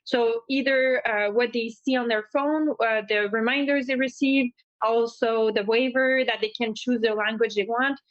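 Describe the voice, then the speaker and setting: Quebecois woman asking about booking software, lots of ums, higher voice, muffled. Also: fairly even intonation, dry recording. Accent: Québécois French. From Quebec, Canada. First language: French. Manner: thoughtful, halting, with many fillers. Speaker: tour operator. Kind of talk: asking questions in a podcast chat. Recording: dry, dull-sounding mic.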